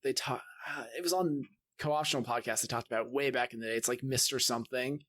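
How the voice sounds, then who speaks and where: A man's voice: medium pitch, fast, fairly bright tone. A man talking casually and informally as a podcast panelist. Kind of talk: casual gaming chat.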